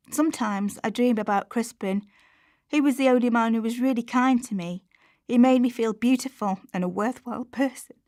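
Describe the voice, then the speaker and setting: Woman acting worried struggling mother, higher-pitched, confiding, colloquial, radio drama monologue, dry sound. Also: a little mellow, no room sound, natural voice. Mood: tentatively hopeful. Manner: confiding, plain-spoken, colloquial. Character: Chantelle, anxious struggling working-class mother on benefits, confiding. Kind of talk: confessional radio play monologue.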